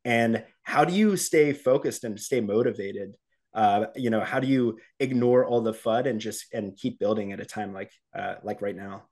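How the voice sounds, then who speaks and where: A man's voice: fairly low pitch, moderate pace, darkish tone. A man interviewing a guest, reflecting on his own work experience before asking his questions.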